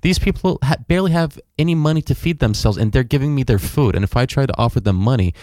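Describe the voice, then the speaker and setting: A man's voice: mid-range voice, animated, fast, dark tone, no echo. A young man telling a travel story as a guest in a casual interview, rambling with fillers and restarts. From United States.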